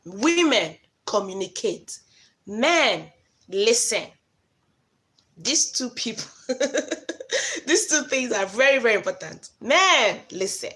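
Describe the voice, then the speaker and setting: A woman's voice: a little high, sing-song, slow, pauses often, bright, forceful tone. A Nigerian woman whose first language is Igbo, hosting a live stream and giving frank, chatty advice to her viewers. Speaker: host giving relationship advice. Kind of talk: casual live-stream talk.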